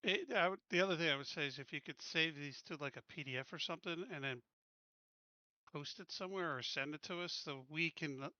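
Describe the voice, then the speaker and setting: A man's voice: a little high, brisk, bright, forceful tone, no echo, dull audio. A man playing a tabletop game, chatting casually and asking blunt, informal questions. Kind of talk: casual chat.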